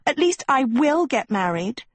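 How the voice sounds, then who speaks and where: A woman's voice: sits high, big pitch swings, measured pace, no echo, pitched above the performer's own voice, full character voice. A woman voicing a blunt, combative, exasperated teenage girl in an audiobook, snappy and sarcastic. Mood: angry.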